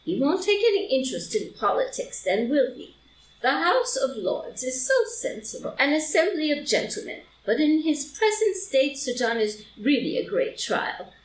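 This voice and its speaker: A woman's voice: high voice, very animated, normal speed. A woman playing an elderly, genteel society lady who rambles confidentially and is easily scandalised, in a live reading of a period comedy. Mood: fussily indignant. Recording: roomy, distant mic, faint noise.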